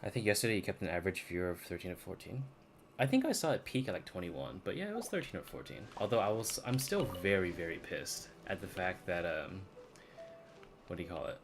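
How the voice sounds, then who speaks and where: Low-voiced man chatting on a game stream. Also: sing-song, fairly quick, music in the background. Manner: slangy, informal. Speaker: live streamer. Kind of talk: casual gaming stream chat.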